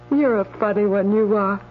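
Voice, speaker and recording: An actress playing a sweet, coolly teasing spirit from the afterlife in a radio drama, matter-of-fact and coaxing. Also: female voice, mid-range voice, natural voice. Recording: dull radio broadcast, faint mains hum.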